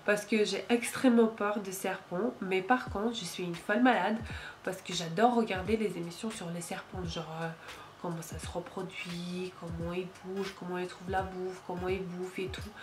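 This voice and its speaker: A woman's voice: lowish voice, fairly even intonation, normal speed, background noise. A woman in her late twenties from Ukraine, speaking French with a Ukrainian accent, chatting casually to camera with many fillers and small slips. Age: late 20s. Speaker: YouTuber. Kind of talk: casual Q&A vlog.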